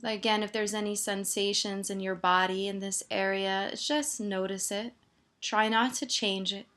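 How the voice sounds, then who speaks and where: A woman meditation teacher gently guiding a body scan, soothing and leaving pauses between her instructions. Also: medium pitch, steady tone.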